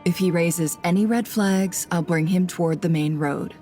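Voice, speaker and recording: A woman acting a low-voiced, composed female investigator. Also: dark tone, faint music behind, lightly acted. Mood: composed, confident. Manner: measured, polite, self-assured. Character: composed, shrewd female investigator, posing as a lost trader. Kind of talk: scripted video game voice acting.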